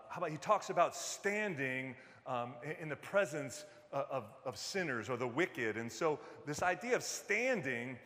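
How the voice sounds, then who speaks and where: A man's voice: a little high, a bit bright. Pastor Man preaching in a reverberant church. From Detroit, US Midwest. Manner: conversational preaching, many fillers and restarts, jokes with the congregation. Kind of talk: sermon. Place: church sanctuary. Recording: long reverb of a large hall.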